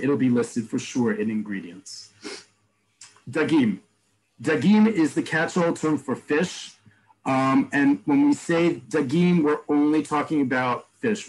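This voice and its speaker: A man teaching an online class, explaining terms and repeating each word slowly. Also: medium pitch, a little mellow, rough recording. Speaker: Hebrew teacher. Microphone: video call.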